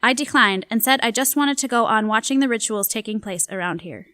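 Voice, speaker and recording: A curious, uneasy 1960s anthropologist, voiced by a woman, reads her field journal. Her voice sits fairly high and the sound is dry and close. Her reading is measured and reflective. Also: bright, forceful tone, no room sound.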